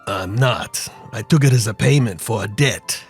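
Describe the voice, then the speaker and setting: A man's voice: lowish voice, moderate pace, soft background music, close to an everyday voice. A man playing a smug, guarded gangster boss in a noir audio drama.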